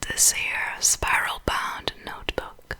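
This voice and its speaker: Slow whispering Swedish-accented woman. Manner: soft unvoiced whisper, slow and unhurried. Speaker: ASMR creator. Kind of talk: ASMR whispering.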